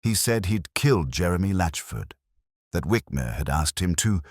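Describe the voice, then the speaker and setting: Guy playing a weary detective telling his case, super low voice, lots of rise and fall, wry and bookish, dry close sound. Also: animated, no room sound. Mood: somber, reflective. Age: middle-aged. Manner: measured, wry, reflective, literary. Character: world-weary, wry, literary English male detective narrating his case. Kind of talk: scripted first-person mystery narration.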